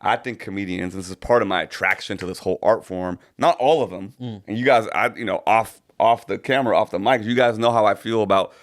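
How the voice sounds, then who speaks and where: A man's voice: lowish voice, quick pace, hardly any pauses. A man co-hosting a casual podcast, talking thoughtfully and rambling with many restarts. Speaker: podcast co-host.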